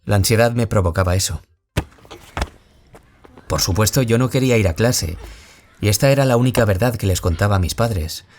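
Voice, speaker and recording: A man's voice: lowish voice, normal speed, long pauses, a little mellow, slight background noise, natural voice. An actor playing a wounded young man from Spain who was bullied, confiding his story as an audio-drama interviewee with a Spain Spanish accent. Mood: anguished, confiding. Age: young adult. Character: wounded young man, former bullying victim, anguished, confiding. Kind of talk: scripted audio-drama monologue in interview form.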